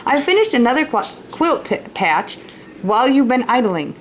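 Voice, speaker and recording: A woman's voice: fairly high pitch, sing-song, moderate pace, a little hiss or hum, pitched above the performer's own voice, poor audio. A woman voicing a prim, disapproving pioneer girl, an older sister in a storybook, prissy and reproachful. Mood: prim disapproval.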